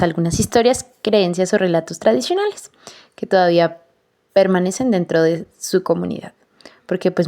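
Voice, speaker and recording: A young woman hosting a student podcast, narrating in an earnest, measured way, with frequent "pues" fillers. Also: on the low side, a little mellow. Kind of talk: reflective voice-over narration.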